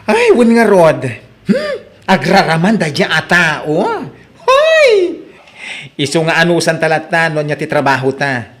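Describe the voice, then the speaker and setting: A man's voice: a little high, huge pitch swings, unhurried, a bit bright, full character voice. A man acting a chatty, teasing but helpful friend in an Ilocano drama, breezy and gossipy, with an Ilocano accent. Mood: excited, gossipy.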